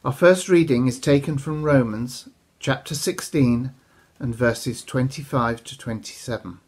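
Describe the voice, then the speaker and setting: A man's voice: medium pitch, measured pace, darkish tone, breathy edge. An older man reading a Bible passage aloud as a church reader, recorded in a room at home. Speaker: scripture reader.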